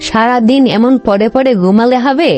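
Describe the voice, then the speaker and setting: High-pitched bossy cartoon fox wife played by a woman. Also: normal speed, a little mellow, soft background music, lightly acted. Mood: scolding, offended. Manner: scolding, insistent, repeats herself. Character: nagging, bossy female fox wife, easily offended. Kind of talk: cartoon story dialogue.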